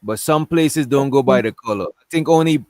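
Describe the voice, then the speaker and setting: A man hosts a loose, rambling discussion stream and keeps talking while he scrolls and pulls things up. His voice sits at an everyday pitch and sounds close and dry, with no room echo at all. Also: normal speed, a little mellow. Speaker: stream host.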